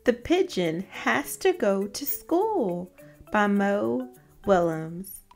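A woman's voice: mid-range voice, animated, very slow. Woman hosting storybook read-alouds over music. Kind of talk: scripted storybook presentation.

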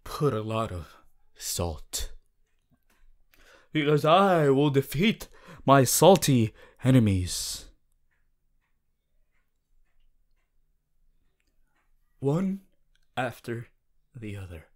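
A man playing a young, cheerful aspiring superhero student in an audio roleplay, earnest and goofy, with booming theatrical boasts and hesitant asides. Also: big pitch swings, takes it slowly, long pauses. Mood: exuberant, pompous. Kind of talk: ASMR audio roleplay addressed to listener.

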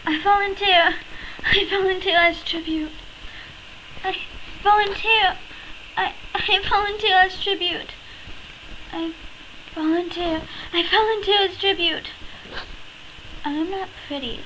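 Woman acting a brave high-voiced teenage girl. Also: steady tone, slow, pauses often, bright, forceful tone, heavily acted. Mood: urgent, determined. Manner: declarative, repeated audition lines. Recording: crackly, buzzing built-in computer microphone.

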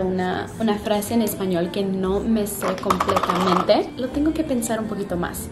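A young woman from Canada chatting to the camera while she does her makeup in a hotel room, stopping to search for words and correcting herself. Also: mid-range voice. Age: young adult. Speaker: vlogger. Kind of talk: casual get-ready-with-me chat to camera. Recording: roomy room with music underneath.

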